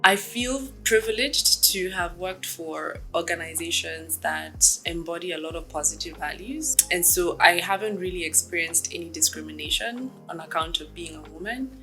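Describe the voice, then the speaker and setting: A woman in her thirties from Kenya, a startup executive giving reflective, measured interview answers with frequent ums. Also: lowish voice, sharp tone, soft background music.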